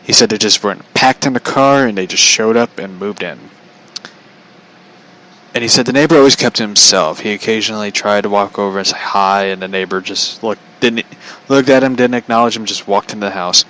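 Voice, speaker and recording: A man's voice: fairly low pitch, fairly quick, a bit bright, rough recording. An American man telling stories casually as a show host, rambling in a blunt, slangy, profane way. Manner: chuckles often while talking.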